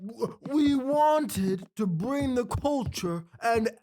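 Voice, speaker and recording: Fussy, animated magical creature sidekick. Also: female voice, fairly high pitch, slow pace. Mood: earnest, flustered. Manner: flustered, chiding, theatrical. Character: fussy, nervous magical creature museum helper, scolding its companion. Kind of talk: family audio drama acting.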